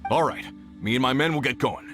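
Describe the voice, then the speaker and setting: A man playing a dutiful leader of a search party in a video game, brisk, practical and earnest. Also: mid-range voice, faint music behind, lightly acted. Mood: resolute. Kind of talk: English video game voice acting.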